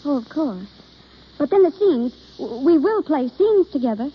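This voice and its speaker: Eager amateur ingenue, vintage radio play. High voice, halting, apologetic, earnest, dull aged recording. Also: female voice, very animated. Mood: earnest, shy. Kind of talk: old-time radio drama acting.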